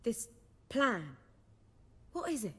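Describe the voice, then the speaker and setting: An actress playing a poised young bard in a video game, speaking articulately with wry, theatrical phrasing. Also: female voice, fairly high pitch, big pitch swings, slow, husky, heavily acted. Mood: curious. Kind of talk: video game cutscene dialogue. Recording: long hall-like reverb.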